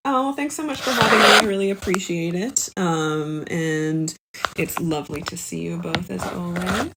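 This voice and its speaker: A woman's voice: fairly low pitch, moderate pace, very noisy. A woman comedian chatting casually as a guest on a comedy podcast.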